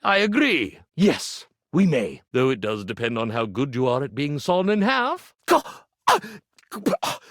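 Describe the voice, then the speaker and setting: Wildly theatrical lovestruck stage magician. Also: male voice, mid-range voice, wildly swooping pitch, a little slow, fairly bright tone, dry recording, clean studio sound, exaggerated voice. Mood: musing, playful. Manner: theatrical, grandiose, swooning showman delivery. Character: flamboyant animated iron and ironing board, a would-be magician, lovestruck and grandiose. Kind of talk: video game character acting.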